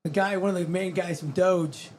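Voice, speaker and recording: High-voiced male podcast host, noisy recording. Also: steady tone, normal speed, a little mellow. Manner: blunt, slangy, informal. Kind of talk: casual conversational interview. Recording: noticeable background noise.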